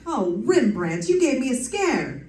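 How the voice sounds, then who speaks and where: High-voiced wisecracking lady detective played by an actress, roomy. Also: big pitch swings, a little slow, darkish tone, slight background noise. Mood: breezy banter. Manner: wry, playful, theatrical delivery. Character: wisecracking, breezy female private detective narrating her own case. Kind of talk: 1940s radio detective acting. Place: live stage performance in a room. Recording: roomy stage, distant mic, music behind.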